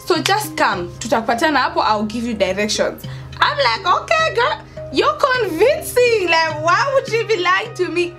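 High-pitched young Kenyan woman storyteller. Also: very animated, normal speed, music in the background. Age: young adult. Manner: dramatic, acts out dialogue, code-switches into Swahili. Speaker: vlogger telling a story. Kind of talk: animated casual storytelling to camera. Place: indoors by an open doorway at home.

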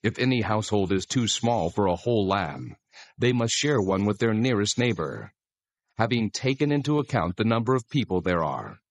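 A man playing God as a solemn, authoritative deity, giving measured instructions in a dramatized scripture reading. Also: fairly low pitch, sing-song, dry recording. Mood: solemn, commanding.

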